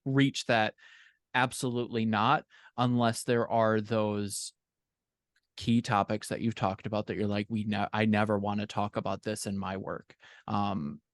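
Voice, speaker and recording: A man's voice: on the low side, flat delivery, unhurried, dry recording. A man talking conversationally as a guest in a podcast interview, explaining things in an informal, thoughtful way. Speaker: podcast guest, fiction co-author.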